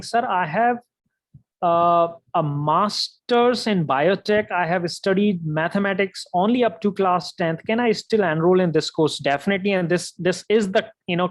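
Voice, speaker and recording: A man with an Indian accent hosting a live webinar as a coding instructor, reading out and answering viewer questions in a reassuring way, often tagging on "right?" and "you know". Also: high voice.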